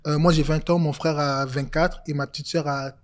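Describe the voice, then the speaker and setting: A man in his twenties from Congo, talking casually as an interview guest, relaxed and hesitant with many fillers. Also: on the high side, normal speed. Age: 20s.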